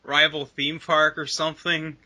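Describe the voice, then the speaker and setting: A man's voice: on the high side, level tone, normal speed, sharp tone. A man on a casual gaming podcast, making dry jokes and moving the talk from topic to topic. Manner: dry joking, moves the topics along. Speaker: podcast co-host. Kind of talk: casual chat.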